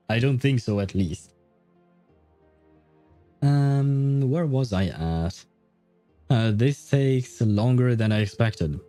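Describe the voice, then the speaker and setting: Casting: male game streamer, relaxed dark tone, dry close mic, rambling self-deprecating chat. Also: mid-range voice, no room sound. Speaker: video game livestreamer. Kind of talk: casual livestream chat while gaming.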